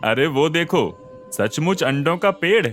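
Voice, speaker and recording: Man acting an excitable, high-pitched astonished villager. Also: unhurried. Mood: amazed. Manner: exclaiming in wonder. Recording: music behind the voice.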